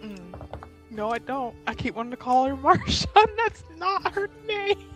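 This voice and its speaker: A woman's voice: high-pitched, big pitch swings, normal speed, a bit bright, soft background music. A woman chatting casually and informally as a player in a tabletop roleplaying game, with a giggly manner. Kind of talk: casual game chat with friends.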